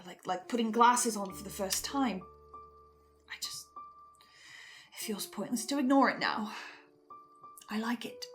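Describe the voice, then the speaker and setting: A woman's voice: on the high side, a bit bright. A woman playing an uneasy archivist hosting a horror broadcast, hesitant and stammering as they confide in listeners. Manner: hesitant, stammering, slow and reflective. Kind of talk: confessional horror video monologue.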